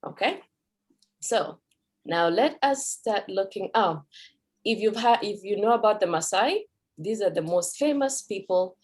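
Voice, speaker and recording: A woman's voice: medium pitch, lively intonation, unhurried. A Kenyan woman whose first language is Kikuyu, giving a conversational talk at a cultural event as a Swahili teacher, with fillers and self-corrections.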